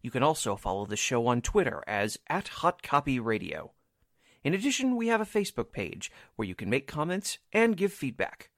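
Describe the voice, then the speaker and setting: A man's voice: mid-range voice, sing-song, moderate pace, no room sound, clean studio sound. A man working as a radio drama announcer, reading scripted show narration.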